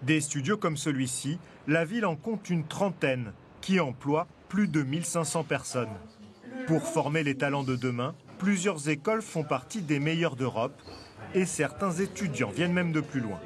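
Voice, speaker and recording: A man narrating a television news report in a measured, scripted voice-over. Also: on the high side, lively intonation, a crowd in the background.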